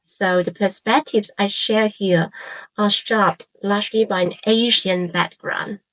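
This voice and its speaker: A woman in her forties from Hong Kong, a native Cantonese speaker with a Hong Kong accent, narrates a scripted, reflective piece in measured phrases with short pauses. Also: medium pitch, steady tone, fairly bright tone, low-quality recording. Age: 40s. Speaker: YouTube channel creator and host.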